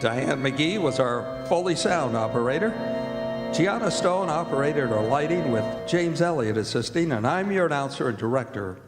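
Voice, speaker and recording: A man's voice: on the high side, animated, moderate pace. A man working as announcer in the style of old-time radio, reading credits and sponsor copy. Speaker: announcer and director. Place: performing arts center stage. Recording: echoey hall with music.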